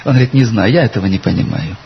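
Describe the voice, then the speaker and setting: A man's voice: lowish voice, rapid-fire, creaky. A man preaching a sermon in an earnest way, telling stories from his church and reading scripture aloud. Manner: earnest, addresses listeners as brothers and sisters. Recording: dull lo-fi recording with faint hiss.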